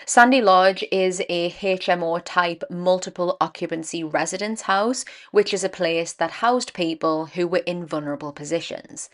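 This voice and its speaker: Croaky woman hosting a podcast. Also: lowish voice. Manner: earnest, measured storytelling with emphatic stresses. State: croaky, dry voice from a cold. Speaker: true crime podcast host. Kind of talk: scripted true crime narration.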